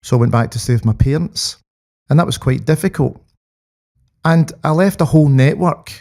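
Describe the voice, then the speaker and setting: A man's voice: on the high side, moderate pace, mellow. A middle-aged Scottish man recalling his own experience in conversation, in a reflective way. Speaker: podcast guest. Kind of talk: personal recollection in conversation.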